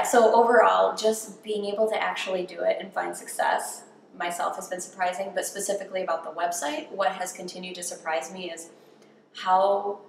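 A woman in her thirties talking candidly and self-deprecatingly about learning to cook, interviewed in her home kitchen on a distant camera mic. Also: on the low side. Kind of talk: casual interview while cooking. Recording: roomy kitchen sound with faint background hum.

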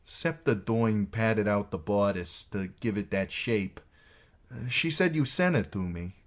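A man's voice: lowish voice, lively intonation, unhurried, pitched above the performer's own voice. A man performs an awkward young warrior maid from a fantasy novel. The voice is lifted well above his own, earnest and shy, with a hesitant delivery.

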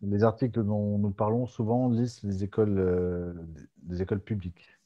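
A man from Aix-en-Provence hosts a relaxed question-and-answer session over a video call. His voice sits low and mellow, with easy chuckles and halting restarts. The call audio is dull and muffled. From France, Aix-en-Provence. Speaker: podcast host and moderator. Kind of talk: casual Zoom Q&A chat.

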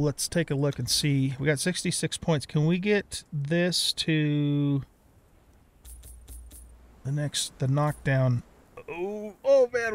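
A middle-aged man giving casual let's play commentary, chatting and thinking aloud as he goes. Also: on the high side. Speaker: gaming let's play host.

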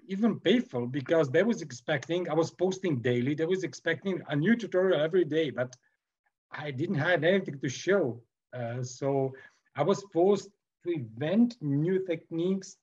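A man in his forties from Serbia with a Serbian accent, speaking as a guest on a video call interview, halting with many fillers and restarts. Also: fairly high pitch, expressive, a little mellow, slightly rough. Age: 40s. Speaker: guest sculptor. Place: home workroom with shelves. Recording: muffled video call audio.

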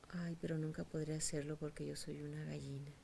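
A woman voicing a timid young eagle raised among hens, in a breathy, wistful whisper. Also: low-pitched, flat delivery, fairly quick, mellow, slight background noise.

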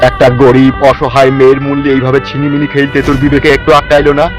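A man's voice: medium pitch, completely flat delivery, fast talker, close to an everyday voice. A man voicing a middle-aged, hot-tempered father in a comedy cartoon, ranting and scolding over music. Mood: furious. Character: furious, foul-mouthed protective village father. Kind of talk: melodramatic comedy cartoon dubbing. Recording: music under the voice.

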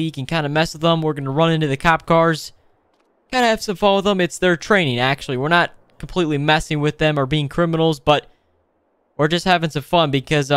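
A man with a fairly high, smooth and clear voice gives casual running commentary over a roleplay gaming video. He is playful and excitable, tossing out exclamations as he goes. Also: no echo.

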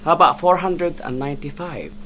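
An actor playing a calm math teacher with dry, deadpan humor, in a classroom. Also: male voice, fairly high pitch, brisk, a little hiss or hum. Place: classroom indoors.

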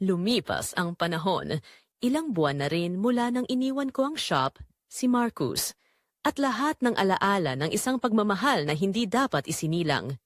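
A woman's voice: mid-range voice, very animated, normal speed, no room sound, studio quality, close to an everyday voice. A woman playing a remorseful, wounded young woman, narrating her confession earnestly and emotively in an audio drama with a Filipino accent. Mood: reflective, sorrowful. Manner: earnest, reflective, emotive delivery. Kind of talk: scripted first-person dramatic narration in Tagalog audio drama.

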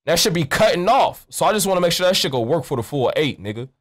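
A young American man with a high voice rambles nonchalantly in street slang. He swears freely as he works a prank call, speaking close into a studio mic. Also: very animated, fast talker, a bit bright. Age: young adult. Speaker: prank caller and streamer. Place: indoors at a streaming desk. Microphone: close studio mic with pop filter, headset on.

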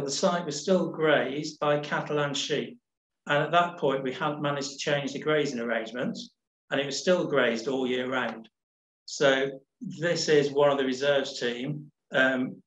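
Middle-aged man, relaxed talk over slides, echoey webcam audio. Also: on the high side. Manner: relaxed, rambling, many restarts and ums. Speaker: nature reserves manager. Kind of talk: casual presentation over slides. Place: home room on a video call.

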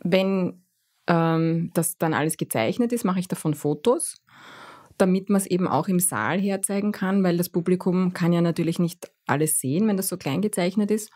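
A middle-aged Viennese woman answers interview questions with a somewhat low voice. She speaks in a thoughtful, hesitant way, filling pauses often as she explains her craft. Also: dark tone. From Vienna, Austria. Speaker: guest, graphic recorder.

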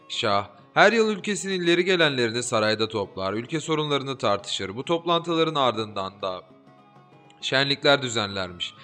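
A man narrating a fairy-tale audiobook in an expressive, lilting storytelling manner. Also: mid-range voice. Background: soft background music.

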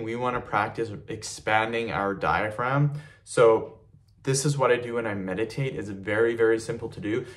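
A young man giving a casual home vlog with a loose, chatty manner, full of ums and repeated words, filmed by the camera in front of him in a small home gym. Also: mid-range voice. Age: young adult. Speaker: fitness vlogger. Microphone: camera mic in front of him, head and shoulders in frame.

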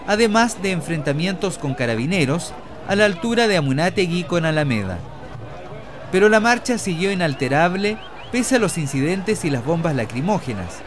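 A man narrating a scripted radio news report. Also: high voice.

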